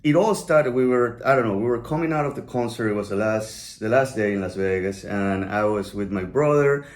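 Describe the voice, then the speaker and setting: A man's voice: on the low side, fairly quick. A man from Venezuela, hosting a podcast in a chatty, enthusiastic, rambling way. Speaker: podcast host. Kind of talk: casual chat.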